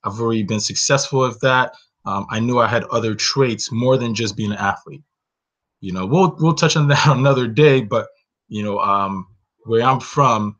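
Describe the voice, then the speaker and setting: A man from Northern Nigeria with a low voice talks through a webcam in a small room. His sound is dull and lo-fi. He speaks earnestly and personally, often pausing on "you know.". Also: fairly bright tone. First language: Hausa. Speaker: webinar host. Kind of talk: reflective solo webinar talk. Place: bedroom indoors, small. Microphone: webcam, close framing.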